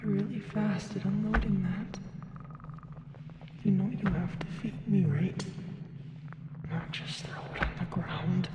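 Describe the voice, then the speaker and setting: Woman playing a slow, breathy alien in echoing reverb. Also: mid-range voice, close to an everyday voice. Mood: drowsy, complaining. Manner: slow, drowsy, teasing, breathy near-whisper. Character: giant sedated female alien, hungry, sly, seductively menacing. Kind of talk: audio roleplay. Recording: echoey reverberant tank with loud noise. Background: loud ambient noise.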